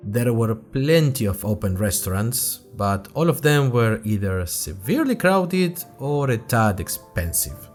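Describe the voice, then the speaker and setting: A curious young student reporter voiced by a man recounts his adventures for an audio drama podcast. His delivery is expressive, with a lively rise and fall in pitch and a wry, conversational touch. Soft music sits behind his mid-pitched voice. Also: a little slow. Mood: chatty, curious. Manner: chatty, wry, expressive storytelling. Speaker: fictional podcast host and narrator. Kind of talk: scripted first-person audio drama narration in chatty podcast form.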